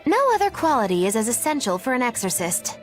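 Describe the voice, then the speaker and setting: A woman's voice: a little high, big pitch swings, quick pace, faint music behind. A woman playing a breezy, knowing, theatrical female sage in a video game.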